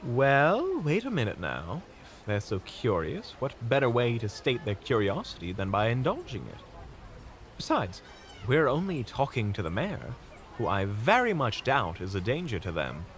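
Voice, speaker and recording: A man's voice: mid-range voice, wildly swooping pitch, normal speed. A man playing a sociable, chatty monster hunter with polite, eloquent speech in an audio roleplay drama. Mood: courteous, reasonable.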